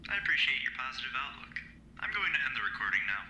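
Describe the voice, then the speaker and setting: A man's voice: medium pitch, very animated, fast, sharp tone. A man playing a polite, businesslike interrogator in an audio drama, speaking in a formal, clipped, courteous way. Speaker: voice actor in a fan audio drama. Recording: roomy echo, faint background noise.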